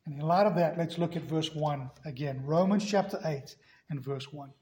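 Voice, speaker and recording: A man's voice: fairly high pitch, normal speed, warm tone, slight background noise. Emphatic preacher man, echoey church. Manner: emphatic, repeats key words with rising force. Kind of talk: sermon. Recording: echoey room.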